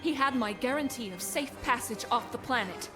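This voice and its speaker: A woman's voice: fairly high pitch, normal speed, music in the background, natural voice. A woman playing a tough, firm rebel leader, cool and defiant, in a space opera audio drama. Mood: cold, resolute.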